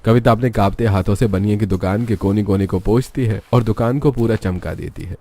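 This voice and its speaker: A man's voice: lowish voice, brisk, warm tone. A man narrating a scripted story as its narrator.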